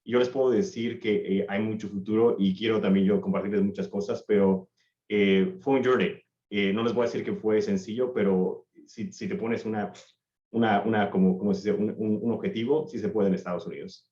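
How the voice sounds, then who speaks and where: A man in his thirties with a lowish voice speaks on a video call, warm but rambling, stumbling over restarts. His audio comes through echoey and dull. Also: steady tone, a little fast. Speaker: panelist, recruiter.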